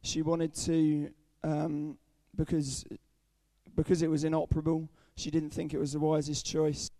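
A young man with a croaky, under-the-weather voice giving an earnest personal testimony at a church service, with frequent ums. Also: fairly high pitch, completely flat delivery, a little slow, dark tone, no echo. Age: young adult. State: hoarse, croaky voice from illness. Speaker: student speaker at a church service.

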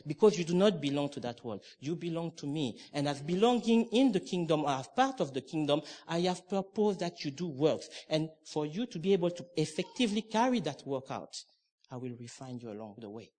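A man's voice: fairly high pitch, a little mellow. A middle-aged man from Cameroon preaching a sermon, emphatic and conversational, with restarts and asides.

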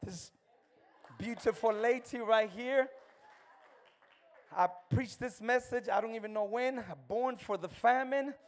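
A man's voice: extremely high pitch, a little slow, pauses often. A man born in El Salvador and raised in America, with an American accent, preaching fervently as a guest in a church and calling out for amens. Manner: fervent, calls for amens, shouts at peaks. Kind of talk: energetic church sermon.

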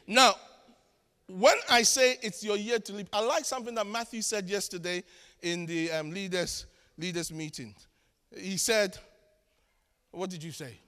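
High-voiced Ghanaian preacher in a hall. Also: male voice, fairly even intonation, unhurried, clear, resonant tone. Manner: storytelling preacher, swings from shouts to hushed asides, self-mocking humor. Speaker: pastor. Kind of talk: sermon. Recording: long hall reverb.

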